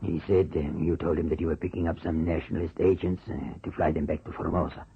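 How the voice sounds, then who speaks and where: An actor in an old radio drama playing a measured, calculating communist officer, speaking formally and deliberately. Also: male voice, low-pitched, flat delivery, breathy edge. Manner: deliberate, formal, hesitant pauses. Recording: muffled, narrow-band old radio recording.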